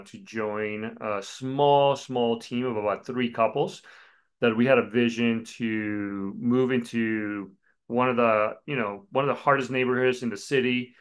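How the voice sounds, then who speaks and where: Colombia-born guy in his 40s, pastor, talks easy with lots of ums, smooth and resonant. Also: mid-range voice. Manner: relaxed storytelling with many fillers. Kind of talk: podcast interview.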